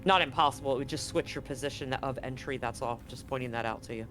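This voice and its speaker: A man's voice: mid-range voice, fast talker, over music. A man acting as game master, explaining rules and narrating the scene of a tabletop roleplaying game.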